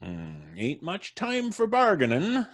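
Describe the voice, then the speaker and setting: A folksy old country codger in an old-time radio play, gloating and merciless. His husky voice drawls slowly through rustic dialect and swings widely in pitch. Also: huge pitch swings, full character voice. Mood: sly bargaining. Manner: drawling, chuckling rural dialect.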